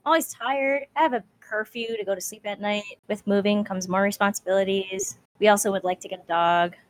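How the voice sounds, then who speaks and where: A young woman in her twenties co-hosts a casual chat podcast. She talks in a playful, mock-dramatic way, often grumbling in jest. Her voice sits at an ordinary middle pitch. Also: fairly even intonation, brisk, poor audio. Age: 20s. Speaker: podcast co-host.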